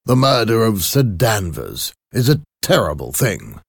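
A man voicing a grave, concerned lawyer in a storybook, with a measured, probing manner. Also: on the low side, huge pitch swings, gravelly, slightly rough, dry recording, clean studio sound, pitched above the performer's own voice, heavily acted. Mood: serious. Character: grave, concerned male lawyer, questioning and suspicious. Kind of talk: audiobook character acting.

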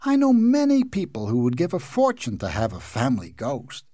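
A man's voice: mid-range voice, huge pitch swings, moderate pace, warm tone, no echo. A man voicing a prim, indignant young American girl who scolds sharply.

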